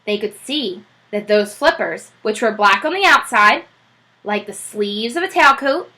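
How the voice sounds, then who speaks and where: A woman's voice: medium pitch, unhurried. A woman teacher reading a children's chapter book aloud, telling it expressively. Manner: expressive, animated storytelling.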